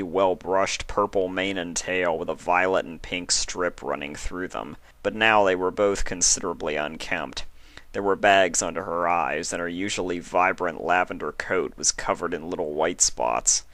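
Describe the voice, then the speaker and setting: Male story reader: lowish pitch, scripted narration, close dry sound. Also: fairly bright tone, dry recording.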